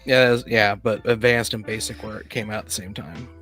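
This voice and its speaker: A man in a casual debate, disagreeing insistently with halting, restarted phrases. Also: lowish voice, fairly even intonation, fairly bright tone, faint music behind. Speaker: panelist in a tabletop gaming discussion.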